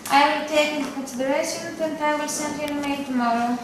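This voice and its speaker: A woman's voice: sits high, fairly even intonation, quick pace, natural voice. A teenage girl with a Greek accent playing a polite, businesslike job interviewer in a rehearsed school role-play. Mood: polite, formal. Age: teenage student. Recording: very echoey, distant, noisy room.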